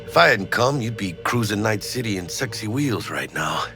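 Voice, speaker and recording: A man's voice: on the low side, big pitch swings, moderate pace, music in the background. A male actor voicing a brash, big-hearted street mercenary in a video game, with a Mexican American accent and slangy talk sprinkled with Spanish. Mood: brash, scornful. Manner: slangy, swaggering, Spanish words mixed in.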